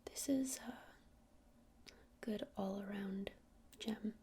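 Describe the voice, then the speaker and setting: A woman playing a friendly witch shopkeeper in an ASMR roleplay, speaking in a soft breathy whisper with hesitant, informal explanations. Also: medium pitch, takes it slowly, heavily acted. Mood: gentle, helpful.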